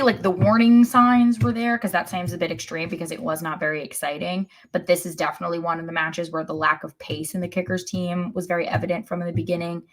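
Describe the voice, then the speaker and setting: A woman's voice: on the low side, poor audio. A woman co-hosting a podcast, giving casual sports analysis in a wry, blunt, critical way. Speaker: podcast co-host.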